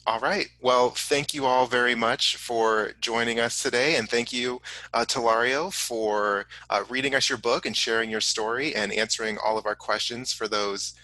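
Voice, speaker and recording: A man hosting a casual live question-and-answer program, speaking haltingly as he relays audience questions. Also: mid-range voice, fairly even intonation, sharp tone, dry recording, poor audio. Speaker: host of a science museum online program.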